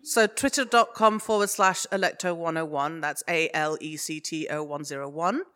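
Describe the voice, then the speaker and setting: A woman giving an informal panel talk as a guest, giggly and animated, often correcting herself as she goes. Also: low-pitched, normal speed. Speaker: guest speaker, audio fiction producer. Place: live panel at a podcast festival.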